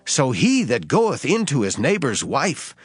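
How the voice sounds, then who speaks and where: Solemn guy reading bible dramatically, mid pitch, really expressive, music playing behind him. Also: unhurried. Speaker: scripture narrator. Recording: guitar music under the voice. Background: guitar music.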